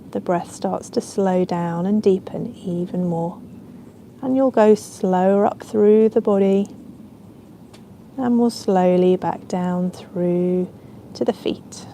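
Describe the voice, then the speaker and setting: Very mellow, soft-toned woman guiding relaxation. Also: medium pitch, slight background noise. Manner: soothing, unhurried, gentle instruction. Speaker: yoga nidra teacher. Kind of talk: guided relaxation meditation.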